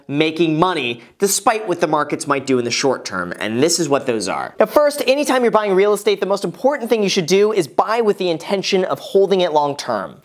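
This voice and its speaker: A man in his twenties explaining things to camera as a video host, emphatically stressing his key words. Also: high voice. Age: 20s. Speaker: real estate video host. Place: small furnished indoor room. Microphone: lapel mic.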